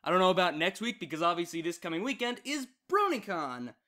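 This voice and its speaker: A man's voice: high voice, big pitch swings, quick pace, bright, forceful tone. A man narrating fan fiction as a story reader and channel host.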